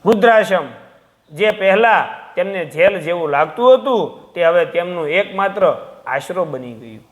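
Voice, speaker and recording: High-pitched male storyteller. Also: expressive, normal speed. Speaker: storyteller, narrator. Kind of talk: scripted narration of a sentimental moral story.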